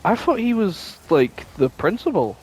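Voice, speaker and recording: A man's voice: high voice, sing-song, a little slow, darkish tone, husky, low-quality recording. A man, probably in his twenties, chatting casually and informally with plenty of swearing as a podcast co-host. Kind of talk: casual chat.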